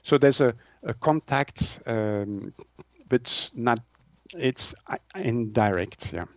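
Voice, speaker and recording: A man's voice: medium pitch, slow, dry recording, lo-fi sound. A man in his sixties from Switzerland, a native French speaker with a Swiss French accent, answering interview questions conversationally and hesitantly, searching for words. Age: 60s. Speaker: naturopath and author being interviewed.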